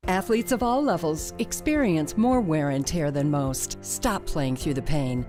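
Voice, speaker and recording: A woman reading a medical clinic ad in a smooth, reassuring way. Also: mid-range voice. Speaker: ad voice-over. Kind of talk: scripted ad read.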